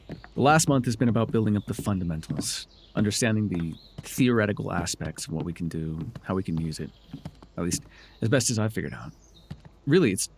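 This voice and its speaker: A man's voice: on the low side, a little hiss or hum. A man playing a smug, teasing telepath supervillain who mentors a hero, in a wry, theatrical yet conversational ASMR roleplay.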